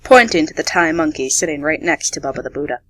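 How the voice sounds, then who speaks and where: A woman narrates a radio theatre story from a script. Her voice sits a little on the low side. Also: animated, fast talker, fairly bright tone, dry recording.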